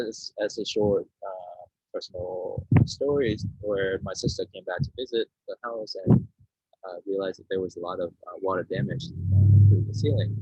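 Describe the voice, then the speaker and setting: Low, husky, hesitant man on muffled call. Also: darkish tone. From Vietnam. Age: 30s. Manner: hesitant, searching for words. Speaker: artist being interviewed. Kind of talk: informal talk about own artwork. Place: indoor room, on a video call. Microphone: video-call microphone. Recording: muffled, roomy video-call audio.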